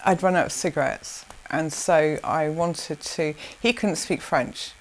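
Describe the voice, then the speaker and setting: A woman from South Africa, answering interview questions in a rambling way with many restarts and soft laughs. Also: low voice, measured pace. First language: Zulu.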